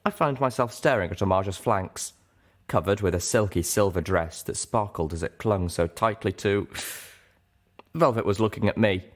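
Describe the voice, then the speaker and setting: A man's voice: fairly low pitch, normal speed. A man reading a first-person story aloud as an audiobook narrator, in scripted narration.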